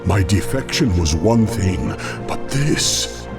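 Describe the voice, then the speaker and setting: An actor voicing a scheming male demon lord, servile yet proud, with a hissing, gravelly voice. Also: deep voice, sing-song, slow pace, exaggerated voice. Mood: alarmed. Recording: echoey game audio over score. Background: music.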